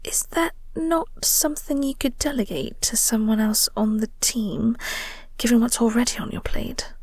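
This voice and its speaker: A woman playing a flirty, teasing vampire office co-worker in an intimate audio roleplay, speaking softly and breathily with a coy, unhurried manner. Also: on the high side, no room sound, natural voice. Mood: teasing, attentive. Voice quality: soft, breathy, whispery. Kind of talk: intimate audio roleplay, whispered ASMR-like acting.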